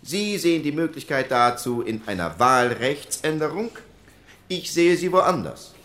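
A man's voice: on the high side, big pitch swings, unhurried, a bit bright. A man playing an earnest post-war constitutional delegate, arguing in formal, rhetorical parliamentary speech. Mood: earnest, persuasive.

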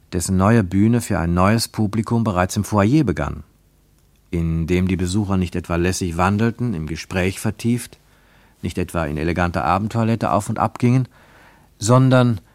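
A man narrating a radio travel drama in a measured storytelling manner. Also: fairly low pitch, dry recording. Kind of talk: scripted radio play narration.

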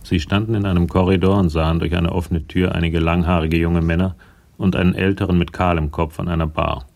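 A man narrating a crime radio play in a measured, matter-of-fact way, with a gravelly voice. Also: sits low, level tone, a little mellow. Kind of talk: scripted radio drama narration.

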